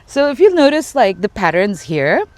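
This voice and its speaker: A woman's voice: medium pitch, sing-song, moderate pace, a little mellow. Bengali-native woman explaining with fillers. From Bangladesh. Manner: friendly, explanatory, hesitant with fillers. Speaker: guest, small business owner. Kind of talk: casual interview conversation.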